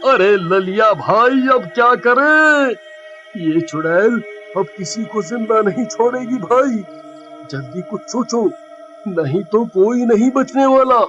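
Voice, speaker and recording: Very high-pitched panicky village man acted out. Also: animated, slow pace, a bit bright, heavily acted. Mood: frightened. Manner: whiny, pleading, exclamatory. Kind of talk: comic horror cartoon story acting. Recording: dull, lo-fi with music. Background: music.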